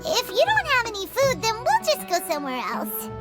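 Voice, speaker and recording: A woman voicing a tiny, cheerful, childlike fairy companion with a squeaky voice in a video game. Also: huge pitch swings, a little fast, over loud music, full character voice. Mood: perky, insistent. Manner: refers to self by name, exclamatory. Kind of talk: animated video game voice acting.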